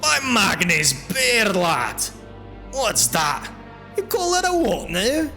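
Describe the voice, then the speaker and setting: A man voicing a gruff, blunt but good-humored dwarf combat trainer in a fantasy audio drama, with a brash, teasing manner. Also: high voice, wildly swooping pitch, slow pace, sharp tone, faint music behind, pitched above the performer's own voice, heavily acted. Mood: scornful, exasperated. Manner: brash, teasing, hearty exclamations.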